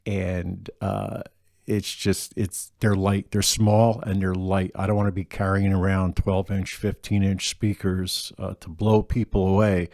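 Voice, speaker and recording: Man, deeper voice, mellow, dry close sound, casual and rambling. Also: measured pace, gravelly, dry recording. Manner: relaxed, rambling with many ums and restarts. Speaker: gigging acoustic musician. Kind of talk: casual gear rundown.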